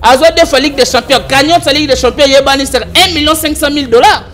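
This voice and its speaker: A man with a Congolese accent, an animated and argumentative political commentator on a talk show. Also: extremely high pitch, racing. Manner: animated, argumentative, mixes French and Lingala.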